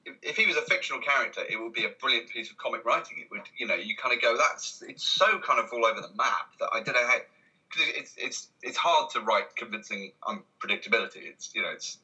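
A man's voice: medium pitch, animated, fast talker, bright, forceful tone, rough recording. A man talking casually as an interview guest, in a hesitant, rambling way, often breaking off and restarting his sentences. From Britain. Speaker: interview guest, comedy writer.

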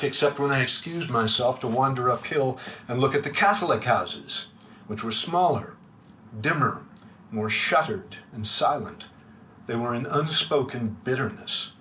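A man in his sixties giving a prepared, literary lecture to a room of listeners, measured, with his voice dropping quiet at the ends of lines. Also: mid-range voice, slight background noise. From United States. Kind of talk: reading a prepared reflective talk. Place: room with a live audience.

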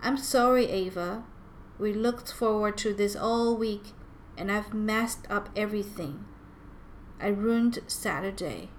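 A loving storybook mother, put on by a woman reader. She is theatrical and easily flustered, yet reassuring, with unhurried delivery. The recording carries a little noise. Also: mid-range voice, natural voice. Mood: exasperated, sorry. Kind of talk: children's picture-book read-aloud.

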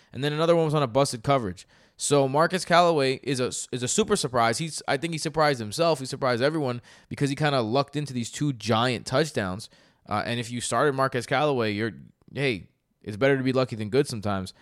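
A young man co-hosting a casual sports podcast, animated and loose, often swearing and laughing. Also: medium pitch, no echo, clean studio sound. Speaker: podcast co-host. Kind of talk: casual fantasy sports chat.

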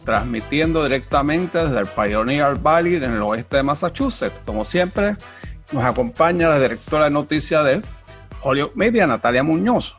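A man's voice: a little high, very animated, normal speed, faint music behind. A man hosting a podcast, giving a formal, announcer-like introduction and asking interview questions. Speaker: podcast host.

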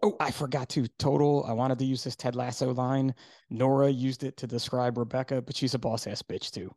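A middle-aged man from New Jersey hosting a podcast in casual chat, hoarse today and rambling with fillers. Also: medium pitch, little pitch movement, fairly quick, a little mellow, dry recording, studio quality. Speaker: podcast host.

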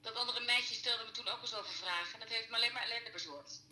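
An actress playing a gruff, wary middle-aged former café landlady in an audio drama, blunt and scornful with folksy turns of phrase. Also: a little high, fast, pushed and bright, reverberant, rough recording. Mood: wary, irritated. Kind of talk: naturalistic audio drama dialogue.

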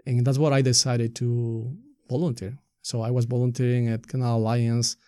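A man's voice: medium pitch, little pitch movement, normal speed, warm tone, dry recording, studio quality. A middle-aged man from Ecuador, a native Spanish speaker with an Ecuadorian accent, giving earnest, explanatory interview answers with rhetorical questions. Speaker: nonprofit staff member being interviewed.

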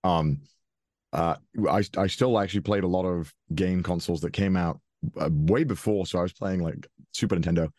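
A young man with a very deep voice talks casually as an interview guest. He stumbles and restarts often and laughs easily. The recording is close and dry. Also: normal speed, darkish tone, dry recording. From Wales. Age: 20s. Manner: hesitant, many restarts and fillers, laughs often.

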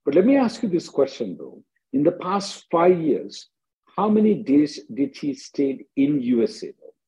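A man hosting a conference call as an immigration attorney, answering callers with brisk, probing questions and often repeating his phrases. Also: fairly high pitch, wildly swooping pitch, warm tone. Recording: dull lo-fi conference call audio.

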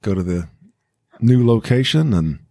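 A man's voice: on the low side, lively intonation, unhurried, dark tone, no echo, studio quality. A man hosting a casual school podcast, encouraging his co-hosts and prompting them with questions. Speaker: podcast host.

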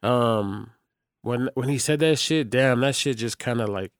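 A man's voice: mid-range voice, unhurried, clear, resonant tone, no room sound, clean studio sound. A man hosting a podcast in casual chat, speaking with slangy emphasis and often checking that listeners agree. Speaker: podcast host.

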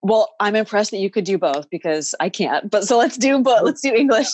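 A woman's voice: medium pitch, brisk, darkish tone. An American woman hosting an interview podcast, chatty and asking follow-up questions. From United States. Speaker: podcast host.

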